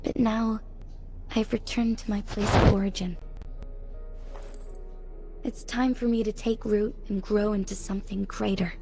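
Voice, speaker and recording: Actress as a solemn young woman leader, mellow voice. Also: medium pitch, steady tone, natural voice. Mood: solemn resolve. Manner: earnest, measured, formal. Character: solemn young female leader, resolute, devoted to her guardian. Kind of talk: scripted video game voice acting. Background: music behind the voice.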